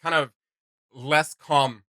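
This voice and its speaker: A man hosting a reaction video, chatting casually in an excitable, gushing, slangy way. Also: fairly high pitch, takes it slowly.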